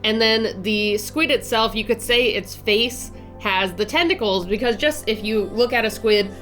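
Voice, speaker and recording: A young woman in her twenties narrates her art vlog in a relaxed, friendly way, her voice noticeably nasal from a lingering cold. She rambles cheerfully with plenty of ums and self-corrections. Soft music sits quietly underneath. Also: fairly high pitch, unhurried. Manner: chatty, upbeat, many fillers and restarts. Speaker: art channel host.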